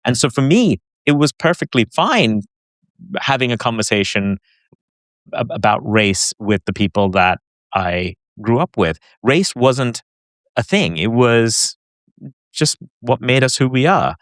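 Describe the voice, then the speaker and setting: A man with a British accent who grew up in Hong Kong, speaking thoughtfully in a casual conversation, halting at times and animated at others. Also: medium pitch, no echo, clean studio sound. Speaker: podcast co-host.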